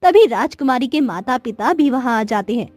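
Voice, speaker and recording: A woman's voice: a little high, expressive, moderate pace, darkish tone, no echo, faint music behind. A woman narrating a scripted cartoon story as storyteller.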